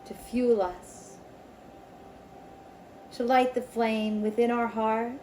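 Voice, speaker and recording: A woman leading a spiritual circle in a slow, ceremonial manner, with guided meditation and invocations and pauses between phrases. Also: a little high, flat delivery, warm tone, a little hiss or hum. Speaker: Reiki circle leader.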